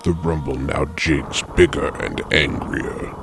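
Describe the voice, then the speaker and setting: Extremely deep, gravelly male narrator. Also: steady tone, a little slow, background noise. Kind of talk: dramatic comic storytelling narration. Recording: dramatic music behind the voice.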